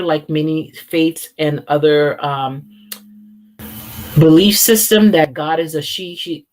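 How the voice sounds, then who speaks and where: A woman in her forties, born in Haiti and raised in America, giving an earnest, reflective faith talk with frequent ums and restarts. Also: deep voice. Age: 40s. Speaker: speaker opening a teaching series. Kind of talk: informal sermon-style teaching.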